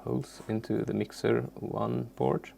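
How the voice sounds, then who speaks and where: Halting, very gravelly man teaching software. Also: mid-range voice, steady tone, a little hiss or hum. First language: not English. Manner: halting, searching for words. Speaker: tutorial presenter. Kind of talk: unscripted software tutorial.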